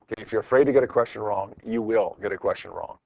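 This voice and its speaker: A man's voice: on the low side, fast, vocal fry, a little mellow. Male teacher, slightly dull recording. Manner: informal, encouraging, repeats phrases for emphasis. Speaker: high school physics teacher. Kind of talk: classroom lecture, working through problems with students. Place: classroom with students.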